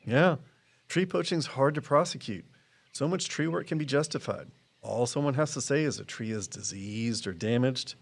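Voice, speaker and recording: An actor playing a guarded, loyal tree expert in an audio drama, speaking in a plain, matter-of-fact way. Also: male voice, medium pitch, moderate pace, creaky. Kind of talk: naturalistic audio drama dialogue.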